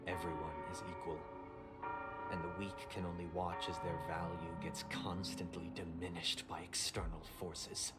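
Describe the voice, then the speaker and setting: Solemn, pessimistic game brother male actor, lowish measured delivery, oratorical, clear music underneath. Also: level tone, lightly acted. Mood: grave, persuasive. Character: solemn, pessimistic adult brother, gentle-spoken philosopher. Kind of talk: dramatic video game dialogue.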